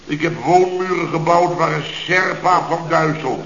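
Blustering, sharp-voiced contractor boss. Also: male voice, fairly high pitch, steady tone, normal speed, creaky. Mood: exasperated. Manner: ranting, indignant, exclamatory. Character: blustering, sarcastic male boss of a building contractor firm. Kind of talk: radio comedy serial acting. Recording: dull, echoey old radio recording.

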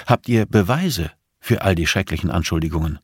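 A man's voice: deep voice, expressive, a little fast, husky, dry recording, clean studio sound. A man voicing a shocked, conscientious woman in an audiobook, earnest and incredulous as she questions. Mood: appalled, challenging. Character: shocked, conscientious woman, disbelieving and morally appalled.

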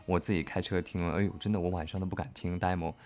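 A man's voice: deep voice, steady tone, fast, darkish tone. A man co-hosting a casual livestream chat, hesitating and often repeating words. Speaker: livestream co-host and audio drama cast member.

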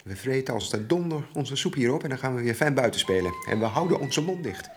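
An actor playing a bullying farmhand in a radio play, threatening and sneering in manner. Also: male voice, mid-range voice, lively intonation, fast, close to an everyday voice. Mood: menacing. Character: bullying, menacing farmhand caught with secret lover. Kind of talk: Dutch radio drama acting.

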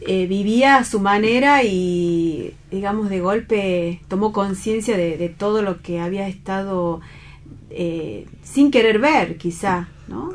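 An Argentine woman in a casual radio discussion, speaking hesitantly and pausing to search for words. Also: medium pitch. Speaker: radio panelist.

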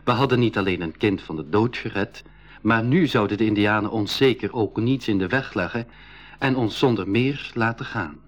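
Man acting a slightly husky young adventurer. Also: fairly low pitch, moderate pace, lightly acted. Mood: reflective narrating. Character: Piet, curious young boy adventurer who also narrates. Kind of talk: 1980s school radio drama acting and narration.

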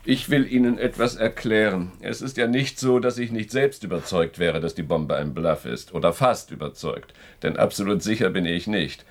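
An actor playing a calculating, cynical government security official in a German radio play, ironic, deliberate and coolly polite. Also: male voice, lowish voice. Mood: controlled, polite.

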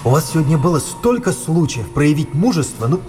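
Stern army captain, firm and clipped. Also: male voice, on the high side, sing-song, brisk, darkish tone, soft background music. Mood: irritated, sardonic. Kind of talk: Russian radio play acting.

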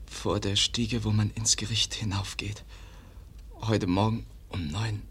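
Wounded young man, breathy whisper, very husky, slow halting clipped speech, radio play. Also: lowish voice, steady tone, fairly bright tone, full character voice. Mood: weak, faltering. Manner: broken, clipped sentences, slow and faint.

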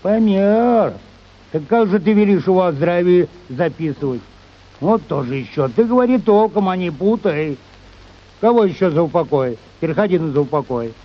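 Grumbling, high-pitched village scribe. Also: male voice, animated, muffled recording. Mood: impatient. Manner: grumbling, halting, folksy. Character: grumpy, easily muddled village church scribe. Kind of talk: radio play acting of a classic short story.

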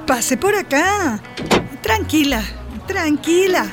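Casting: reassuring female official, high, unhurried, explanatory radio drama delivery over music. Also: animated, exaggerated voice. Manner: patient, explanatory. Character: kind, reassuring female refugee office clerk.

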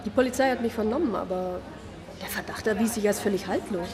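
A woman's voice: mid-range voice, fast, a crowd in the background, lightly acted. An actress playing a hesitant yet adamant girlfriend of an accused man, giving an interview in a radio drama. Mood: hesitant, incredulous. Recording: long reverb, music behind.

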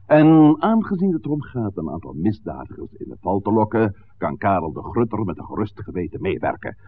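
A man playing a suave, scheming village pastor in a 1960s radio drama, speaking in formal, measured, courteous sentences. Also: on the low side, sing-song. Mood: grave, diplomatic. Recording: muffled, noisy old radio recording.

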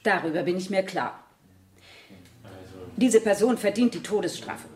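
An actress playing a righteous, bitter nurse who testifies deferentially as a witness in a German radio play, recorded in an echoey, somewhat noisy room. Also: female voice, medium pitch, expressive, unhurried, close to an everyday voice. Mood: indignant, vehement. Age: 30s. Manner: dutiful, deferential, vehemently indignant. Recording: echoey room with background noise.

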